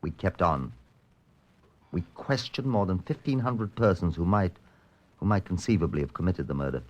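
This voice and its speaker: Police inspector, deep voice, curt n sober, old time radio crime show. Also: male voice, animated, moderate pace, dull audio. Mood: sober, narrating. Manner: clipped, matter-of-fact. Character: sober, curt Scotland Yard chief inspector. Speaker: actor and narrator in a radio crime drama.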